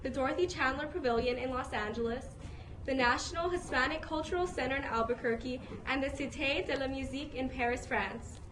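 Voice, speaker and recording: A woman's voice: sits high, a little fast, background noise. A woman co-hosting an interview show, reading a scripted introduction in an upbeat presenter manner. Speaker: co-host. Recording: voice over loud music.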